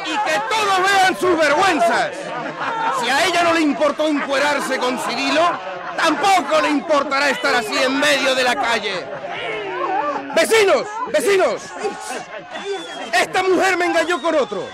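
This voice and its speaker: An actor playing a loud, indignant betrayed husband bent on revenge in a radio drama. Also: male voice, extremely high pitch, expressive, moderate pace. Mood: indignant appeal. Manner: shouting, indignant. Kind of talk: Spanish-language radio drama acting. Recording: crowd clamor behind.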